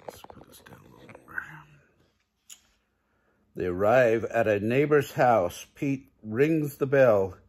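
Husky, slow-paced older man reading aloud. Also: mid-range voice, lively intonation, darkish tone. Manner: chuckles often, asks the listening children questions. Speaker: grandpa reading picture books aloud for kids. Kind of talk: children's storybook read-aloud with casual asides. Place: living room, indoors.

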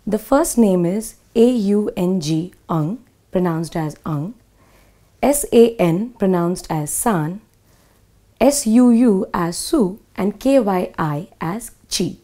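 A woman in her thirties with an Indian English accent, clearly and methodically explaining a school English lesson. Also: mid-range voice, animated, slow pace, warm tone. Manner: clear, methodical, spells words out. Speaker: English teacher. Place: small studio in front of a whiteboard.

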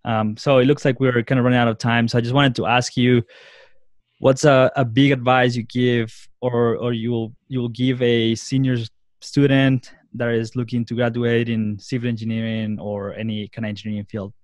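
A young male podcast host speaks at a middle pitch with little melodic movement. His phrasing is casual and a bit rambling, feeling its way toward each question. The sound is dry, with hardly any room on it. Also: level tone, normal speed, dry recording. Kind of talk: casual interview.

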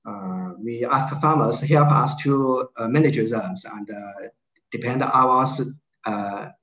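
A man with a Chinese accent speaks slowly and haltingly on a video call, stopping often with fillers and restarts. The call audio is dull and lo-fi, with a roomy echo around him. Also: medium pitch, fairly bright tone. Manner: halting, many fillers and restarts, searching for words. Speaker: invited speaker, agricultural researcher.

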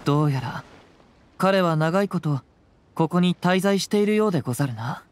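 An actor playing a calm, perceptive young wandering samurai in a Japanese video game, with measured, archaic samurai phrasing. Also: male voice, high voice, slight background noise. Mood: calm, deliberate.

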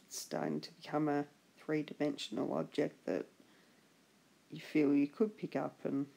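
A woman giving a relaxed drawing tutorial as a voice-over, husky from a chest infection, chatty and encouraging. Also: sits low, normal speed. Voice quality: husky, gravelly. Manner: chatty, encouraging, trails off and restarts. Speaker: art instructor.

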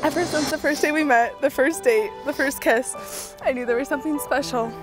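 High-voiced bride saying vows over music. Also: female voice, normal speed. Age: young adult. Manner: tender, heartfelt. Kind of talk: reading wedding vows. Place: outdoor wedding ceremony. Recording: music under the voice.

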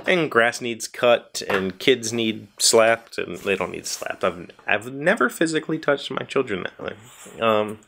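A man's voice: mid-range voice, animated, measured pace, gravelly, a bit bright, a little hiss or hum. A man in his forties with an American accent, chatting casually and in a rambling, self-deprecating way as the host of a video show. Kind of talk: casual chat to viewers. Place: small indoor workshop crowded with tools.

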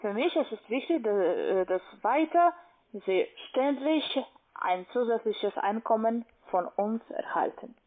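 A non-native speaking woman with a foreign accent, working as a call-center investment agent and talking haltingly as she searches for words. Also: on the high side, lively intonation. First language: not German. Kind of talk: phone sales conversation. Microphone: telephone. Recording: tinny narrow phone line.

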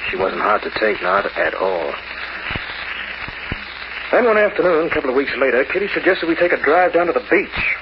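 An actor playing a cool, scheming young crook in 1940s radio noir style, clipped and tough. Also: male voice, on the high side, sing-song, normal speed, long pauses, a crowd in the background. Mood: wry, narrating. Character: scheming, cool, hard-boiled young male lover and crook. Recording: muffled, noisy old radio recording.

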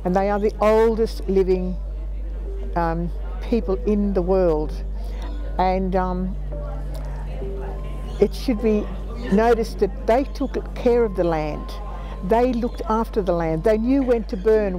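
A woman's voice: medium pitch, slow, pauses often, mellow, faint music behind, rough recording. An elderly Australian woman in her seventies telling her views in a reflective, plain-spoken interview, repeating phrases for emphasis. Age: 70s. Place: outdoors on a planted patio. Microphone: lapel mic.

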